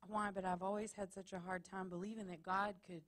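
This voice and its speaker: A woman's voice: medium pitch, level tone, a little fast, fairly bright tone. A woman from Texas with a Texan accent, preaching a sermon in an earnest way that builds to emphatic repetition. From Texas, USA. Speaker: pastor. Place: church.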